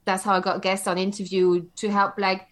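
A woman's voice: medium pitch, level tone, moderate pace, a bit bright. A woman in her forties from Belgium, a podcaster telling her story as an interview guest in a chatty, giggly way. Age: 40s. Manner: chatty, laughs often, many fillers. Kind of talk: casual interview.

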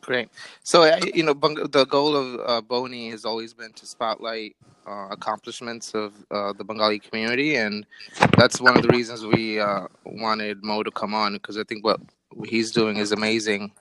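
A man's voice: fairly low pitch, steady tone, normal speed, fairly bright tone, poor audio. A man in his thirties from Brooklyn, co-hosting a casual podcast in a friendly, hesitant way. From Brooklyn, New York. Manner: friendly, hesitant, many fillers. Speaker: podcast co-host.

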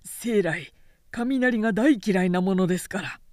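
A woman's voice: mid-range voice, measured pace, darkish tone, no room sound, clean studio sound, lightly acted. A woman voicing a humble, cautious man in an audiobook, speaking politely in a subdued, deferential way. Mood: meek, shaken. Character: humble, cautious man feigning timidity before a warlord.

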